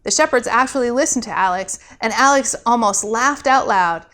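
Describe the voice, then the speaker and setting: Female storyteller, high voice, expressive read-aloud for a children's holiday tale. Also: moderate pace. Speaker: pastor and storyteller, show host.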